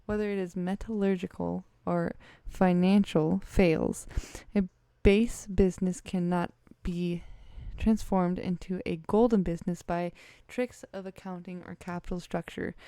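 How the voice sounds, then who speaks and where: A woman reads aloud from a text in a smooth, mellow voice. She trips over words and corrects herself as she goes. The sound is close and dry. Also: on the low side, fairly even intonation, measured pace, no room sound. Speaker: vlogger reading a letter aloud.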